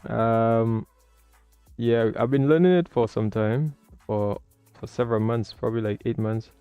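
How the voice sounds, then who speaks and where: A man's voice: medium pitch, steady tone, a little slow, smooth, no room sound, lo-fi sound, soft background music. A man hosting a livestream, talking casually as he comments and explains as a learner. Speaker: livestream host learning air traffic control.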